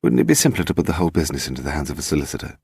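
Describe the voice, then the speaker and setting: A man voicing a cool, brisk female secretary with a crisp, deadpan manner. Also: a bass voice, dry recording, clean studio sound, heavily acted. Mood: casual.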